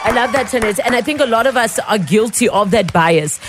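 A woman with a South African accent hosting a talk radio show, chatty and quick to laugh. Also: a little high, lively intonation, a crowd in the background, background noise. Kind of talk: casual talk radio chat. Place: radio studio.

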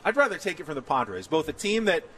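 A man's voice: fairly high pitch, big pitch swings, quick pace, a bit bright, slight background noise. A man hosting casual sports talk radio, speaking conversationally in long, rolling sentences. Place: outdoor patio at a ballpark complex.

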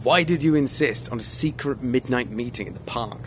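A man's voice: medium pitch, fairly quick, lightly acted. Male actor as a bitter, sarcastic young ex-boyfriend. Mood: irritated. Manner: sardonic, dismissive, clipped. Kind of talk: audio drama acting. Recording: noisy, night insect ambience behind.

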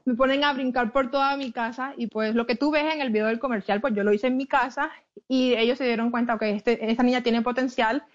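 A woman from Colombia with a Caribbean coastal accent, a plus-size model being interviewed, telling her story with animated restarts and English words mixed in. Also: fairly high pitch, a little fast, dull audio. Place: her home in the Netherlands.

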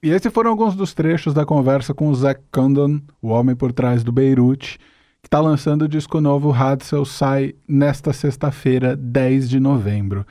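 Radio host guy, friendly chatty tone, mellow voice, dry studio sound. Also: medium pitch, normal speed, no echo. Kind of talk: radio interview hosting.

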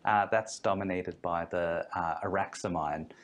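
A man's voice: low-pitched, steady tone, unhurried. A man, a guest fund manager, explains things in an interview with many ums and restarts. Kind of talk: podcast interview.

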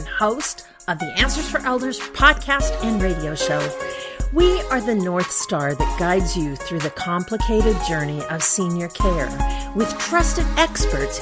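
Woman in a lively ad over loud music. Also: medium pitch. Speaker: podcast host in an ad. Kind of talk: promotional announcement.

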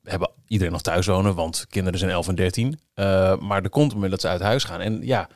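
A man's voice: deep voice, fast talker, darkish tone, dry recording, clean studio sound. A middle-aged man co-hosting a podcast in casual, wry, bantering chat. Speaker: podcast co-host.

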